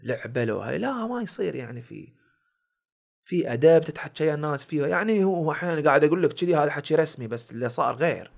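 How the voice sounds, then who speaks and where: A young man with a village Bahraini accent tells stories from his life in a relaxed podcast chat. He talks quickly in a slightly raised voice and acts out the lines of the people he meets. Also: on the high side, creaky. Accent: Bahraini village dialect. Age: young adult. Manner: animated storyteller acting out quoted exchanges. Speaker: guest, content creator and comedian. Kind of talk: casual podcast storytelling.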